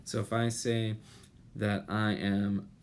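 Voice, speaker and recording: A man in his thirties giving an explanatory lecture as an instructor, deliberate and pausing, with rhetorical questions. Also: lowish voice. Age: 30s. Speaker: chemistry instructor. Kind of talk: explanatory lecture over slides. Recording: noticeable steady background noise.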